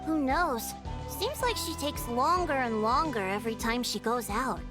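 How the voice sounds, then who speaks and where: A woman voicing a peppy, eager young girl in a game, over clearly heard music. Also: high voice, expressive, normal speed. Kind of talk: game voice acting. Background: game score music.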